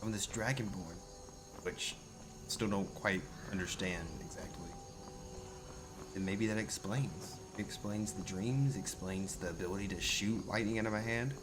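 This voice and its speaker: A man roleplaying a bewildered fantasy adventurer in a video game, thinking aloud in a halting, musing way. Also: on the low side, natural voice. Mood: puzzled, musing. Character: bewildered, hesitant male adventurer in a fantasy world. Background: music.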